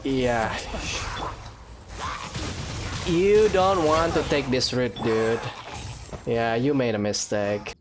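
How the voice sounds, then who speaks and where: Low-voiced woman joking over gameplay. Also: takes it slowly, background noise. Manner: joking, sarcastic asides. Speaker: gaming channel host. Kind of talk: casual let's-play commentary. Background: game music.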